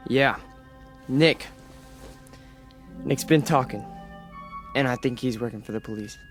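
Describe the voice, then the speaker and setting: An actor playing an intense, callous young fascist leader in a radio drama, forceful and commanding. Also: male voice, medium pitch, unhurried, soft background music, close to an everyday voice. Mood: uneasy, conspiring.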